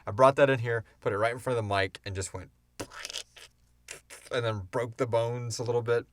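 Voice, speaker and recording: A man in his thirties hosting a casual chat about making an audio show, animated and informal as he tells stories and answers questions. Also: medium pitch, normal speed, slight background noise. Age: 30s. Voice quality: wheezy breathing. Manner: animated storyteller, acts out sounds and swears casually. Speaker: podcast host and audio drama creator.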